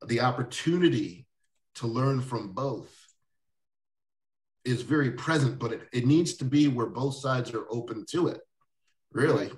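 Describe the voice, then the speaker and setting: Male interview host, easygoing and rambling, mid pitch, recorded off-mic in a roomy space. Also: lively intonation, measured pace, long pauses. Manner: rambling, with many restarts and soft laughs. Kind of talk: casual interview chat. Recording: roomy echo, distant mic.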